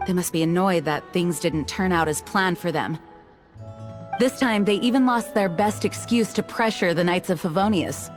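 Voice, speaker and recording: A woman's voice: fairly low pitch, lightly acted. A woman voicing a composed, formal female knight commander. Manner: measured, formal. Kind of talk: game cutscene dub. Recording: game cutscene with faint music.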